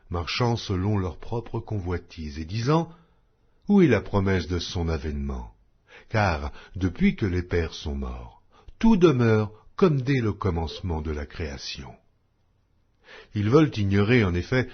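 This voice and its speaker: A man reading scripture aloud in a solemn, measured way. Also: on the low side, slightly rough. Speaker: Bible reader. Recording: dull lo-fi audio.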